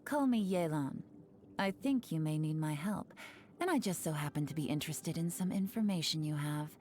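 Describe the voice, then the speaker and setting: A woman voicing a sly, laid-back young woman spy for a video game, speaking in a smooth, teasing, unhurried way. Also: sits low, darkish tone, a little hiss or hum, lightly acted. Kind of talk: video game character voice lines.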